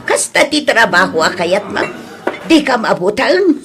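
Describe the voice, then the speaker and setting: A woman acting as a worried village mother in an Ilocano radio-style drama. Her voice sits a little high, with an Ilocano Filipino accent and a reproachful manner. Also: big pitch swings, a little slow, over music. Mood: upset, reproachful.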